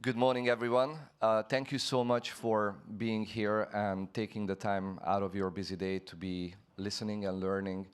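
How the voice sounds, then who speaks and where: Male panelist, deep voice, polished and gracious, handheld mic. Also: level tone, moderate pace, few pauses, clean tone. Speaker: panelist, real estate broker. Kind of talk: self-introduction on a panel. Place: conference stage before an audience. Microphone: handheld microphone.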